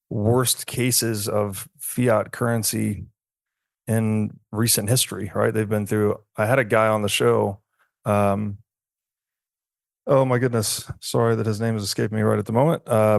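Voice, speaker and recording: A man hosting a podcast interview, setting up questions in a measured, inquisitive way. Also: on the low side, vocal fry, no room sound. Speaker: podcast host. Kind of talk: conversational interview.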